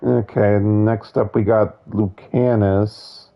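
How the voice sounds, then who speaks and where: Hoarse gravelly man rambling about games. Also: fairly low pitch, fairly even intonation, slow, highs cut off. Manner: opinionated, digressive, many restarts. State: hoarse, losing his voice. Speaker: gaming video commentator. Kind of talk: casual rambling commentary.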